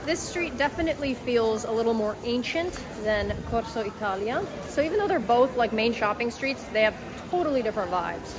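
A woman's voice: fairly high pitch, brisk, hardly any pauses. Bubbly young woman vlogging outdoors. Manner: bubbly, joking, excitable. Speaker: travel vlogger. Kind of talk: casual travel vlog chat. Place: outdoors on city streets. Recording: street noise behind.

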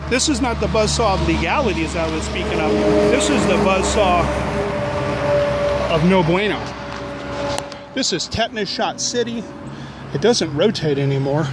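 Playful, mock-dramatic man in his forties, high for a man, handheld outdoor vlog with clear road noise behind. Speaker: vlog host. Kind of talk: jokey exploring vlog. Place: outdoors by a road, overcast and drizzly. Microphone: handheld camera at arm's length. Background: passing cars.

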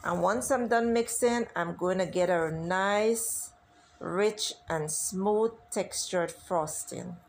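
A woman's voice: fairly low pitch, takes it slowly, a little hiss or hum. A woman hosting a cooking channel, talking viewers through a recipe step by step in a chatty, instructive way. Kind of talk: casual cooking tutorial voice-over.

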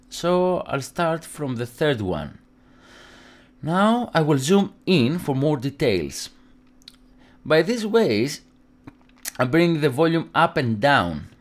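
A young man from Greece with a Greek accent presents an instructional tutorial in halting, non-native English phrasing. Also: a little high, big pitch swings. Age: young adult.

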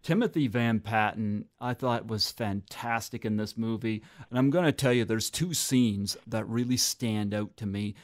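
Halting male movie-review host. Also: lowish voice. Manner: halting, many ums. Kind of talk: casual interview.

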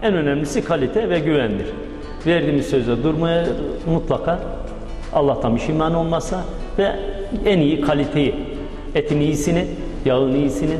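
A man's voice: fairly high pitch, normal speed, a little mellow. Middle-aged man answering in an echoey room. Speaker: interview guest, catering business owner. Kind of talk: plain, brief interview answers. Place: indoor storeroom with metal shelves of pots. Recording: echoey reverberant room, music behind.

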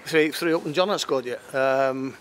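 A man in his fifties giving an unscripted post-match football interview, matter-of-fact and halting, repeating phrases as he goes. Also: medium pitch. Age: 50s. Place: outdoors at a football ground at night. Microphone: handheld interview microphone. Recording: clear outdoor background noise.

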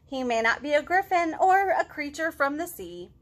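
A woman in her thirties leading a storytime for young children, expressive and encouraging, with simple child-directed phrasing. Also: high-pitched, normal speed. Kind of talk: animated children's storytime, reading aloud and chatting to young children. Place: living room at home.

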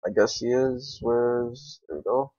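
A man's voice: medium pitch, measured pace, mellow, slightly rough, no echo. Young man, dull lo-fi gaming commentary. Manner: slangy, swearing, rambling to himself. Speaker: gaming video creator. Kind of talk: casual unscripted game commentary. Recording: dull, lo-fi microphone.

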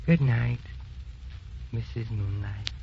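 Lowish, slow, sleepy affectionate husband. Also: male voice, creaky, exaggerated voice. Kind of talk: old radio drama acting. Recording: dull old recording, faint noise.

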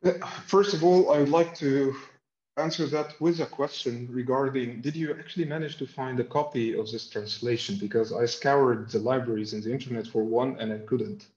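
A dull, roomy video-call recording of a man speaking as an academic. His pitch is middling, and he words his answers formally, pausing often as he goes. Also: darkish tone. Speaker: academic researcher, lecture presenter. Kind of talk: scholarly discussion and question answering. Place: indoor room on video call. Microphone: video call, sitting back from camera.

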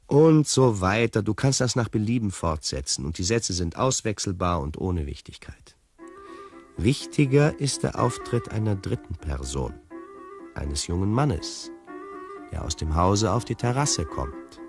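An actor playing an urbane storyteller who confides in the listener in a radio drama, measured and literary. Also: male voice, on the low side, sing-song, music in the background. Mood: confiding, wry.

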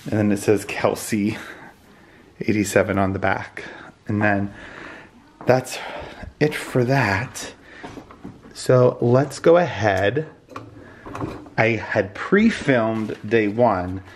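Slow-talking American man with a cold. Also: on the low side, a little hiss or hum. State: congested, losing his voice with a cold. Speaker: vlogger. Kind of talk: casual vlog chat. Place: indoors at home. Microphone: handheld phone or camera at arm's length.

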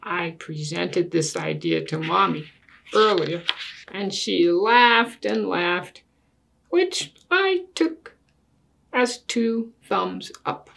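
A bossy, precocious little girl from a storybook, acted out by an older woman, makes her case like a tiny salesman. She talks slowly and pompously, sounding entirely reasonable to herself, with some creak in the voice. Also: on the low side, animated, a bit bright, a little hiss or hum, exaggerated voice. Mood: smugly cheerful.

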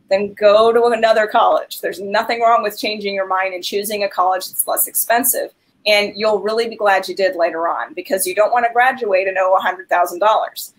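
A woman's voice: mid-range voice, poor audio. A woman in her thirties on a video call, giving earnest, direct advice as a financial aid advisor in a webinar.

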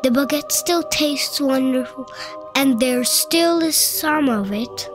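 Woman, children's read-aloud, unhurried, playful, high voice. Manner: slow, playful storybook reading with voiced exclamations. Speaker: narrator reading a picture book aloud. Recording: faint music behind the voice.

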